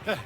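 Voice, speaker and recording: An actor playing a flamboyant, self-important bard in a video game, with a grandiloquent theatrical manner. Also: male voice, extremely high pitch, vocal fry, background noise, exaggerated voice. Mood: flustered, nervous. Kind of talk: video game dramatic acting. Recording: music behind the voice. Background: music.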